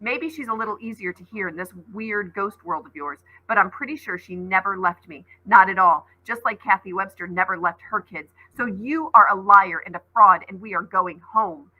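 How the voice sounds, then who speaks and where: A woman's voice: medium pitch, moderate pace, few pauses, highs cut off, close to an everyday voice. A woman voicing a clever, defiant young girl heroine in a storybook, bold and emphatic. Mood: tearful, firm.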